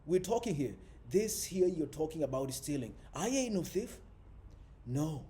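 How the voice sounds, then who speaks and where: A man's voice: fairly high pitch, big pitch swings, normal speed, warm tone, pitched above the performer's own voice. A man voicing a principled, reproachful poor camp dweller in a storybook reading, plain, folksy and insistent. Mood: indignant. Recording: faint background noise.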